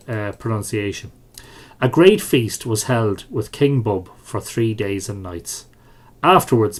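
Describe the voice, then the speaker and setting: Irish man narrating an old legend. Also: fairly low pitch. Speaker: storyteller reading a translated myth.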